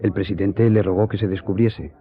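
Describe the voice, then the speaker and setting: An actor in a radio drama playing an earnest friend who recounts a hearing in formal, measured storytelling. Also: male voice, lowish voice, steady tone, close to an everyday voice. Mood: grave, earnest. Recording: tinny, narrow-band audio.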